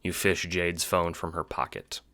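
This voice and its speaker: Deep-voiced man narrating, bright and dry. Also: little pitch movement, a little slow, no room sound. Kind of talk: scripted second-person audio drama narration.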